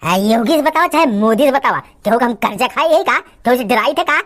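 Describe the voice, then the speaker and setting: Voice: mid-range voice, animated, a little fast, a bit bright, natural voice. A performer voicing a cantankerous, lazy village uncle in an Awadhi comedy cartoon, scolding and rambling with stubborn, abusive bluster. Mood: grumbling. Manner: blustering, scolding, rambling rants full of abuse.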